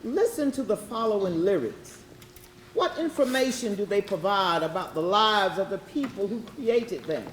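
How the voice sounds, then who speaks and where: A woman reading scripted educational narration in a measured, deliberate way. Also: medium pitch, expressive. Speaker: readers theatre narrator. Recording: echoey room with faint noise.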